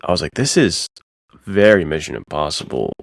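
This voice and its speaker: A man's voice: deep voice, big pitch swings, moderate pace, creaky, dry recording, clean studio sound. A man co-hosting a podcast in casual chat, talking with enthusiastic, laid-back bro slang. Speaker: podcast co-host. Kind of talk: casual chat about spy films.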